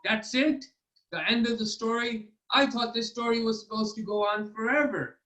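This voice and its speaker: A man's voice: very high voice, steady tone, normal speed, bright, forceful tone, distant mic, pitched above the performer's own voice, low-quality recording. A man voicing an impatient, demanding storybook king who blusters and exclaims imperiously. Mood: demanding, surprised.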